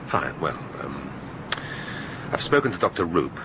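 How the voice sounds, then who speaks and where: An actor playing a brusque, irascible police chief inspector in a radio drama, blunt and probing. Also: male voice, rumbling low, sing-song, a little slow, noisy background, exaggerated voice.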